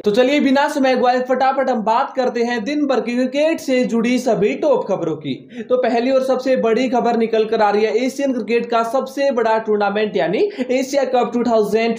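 A young man delivers cricket headlines with animated energy, his voice high yet mellow and warm. The sound is slightly dull and carries a roomy echo from the studio. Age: young adult. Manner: animated hand gestures, emphatic repetition of "जी हां". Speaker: cricket news presenter. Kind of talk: fast energetic news roundup. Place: indoor studio with green-screen stadium backdrop.

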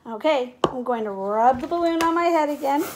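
A middle-aged woman teacher speaking in simple, clear phrases to young children, as in a read-aloud or classroom demonstration. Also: high voice, lively intonation, fairly quick, background noise. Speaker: teacher making videos for pupils. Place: indoors at home, living room and kitchen. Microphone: device mic at about arm's length.

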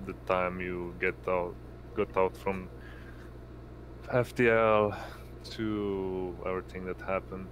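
A game master with a deep male voice narrates unscripted at a slow pace. His phrasing is halting, and clear noise sits behind him on the online call.

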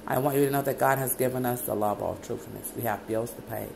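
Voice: mid-range voice, fairly even intonation, quick pace, creaky, background noise. A speaker praying aloud in a long, wandering prayer, calling out "Lord God" again and again between loose phrases. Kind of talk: rambling spoken prayer.

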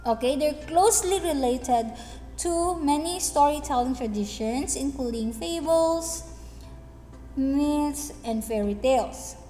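A woman teacher explaining a lesson and reading a story in a deliberate, instructive manner. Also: sits high, dark tone. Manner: deliberate, instructive, with check-in questions. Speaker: English teacher. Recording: echoey hall-like room, faint music behind.

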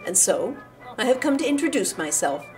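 A woman acts a flirtatious, poised American lady in an audio play. Her delivery is animated and arch, full of confidence. Light music is heard behind her. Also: on the high side, moderate pace. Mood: bold, flirtatious. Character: bold, flirtatious American woman, playfully self-assured. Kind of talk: audio theatre acting.

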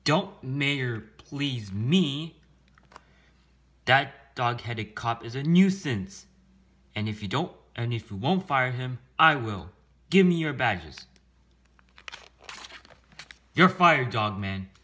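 A man doing a loud, blustering, pompous mayor. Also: medium pitch, expressive, slow pace, pauses often. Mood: blustering anger. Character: angry, self-important town mayor, easily outraged. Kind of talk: children's storybook read-aloud.

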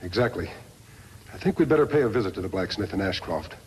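An actor playing an upright masked lawman in a 1950s radio western, crisp, deliberate and authoritative. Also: deep voice, expressive, full character voice. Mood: decisive. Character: upright masked lawman hero, calm and commanding. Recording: noisy vintage 1950s radio recording.

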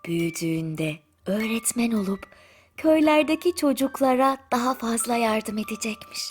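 A woman narrating a bedtime story in a soothing, gentle manner with drawn-out pauses. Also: fairly high pitch, lively intonation. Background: music.

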